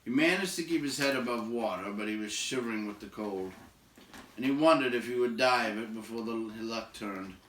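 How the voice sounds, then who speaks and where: An echoey room surrounds a man narrating a storybook aloud. His voice is mid-pitched, and he phrases the prose expressively, pausing between clauses. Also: a bit bright. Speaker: audiobook-style reader.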